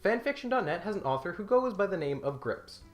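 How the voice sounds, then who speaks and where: A man's voice: sits high, very animated, quick pace. A man delivering scripted comedic commentary in an emphatic, mock-serious way. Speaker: video essay host. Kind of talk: scripted comedic commentary with dramatic read-aloud passages. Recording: roomy echo, music behind.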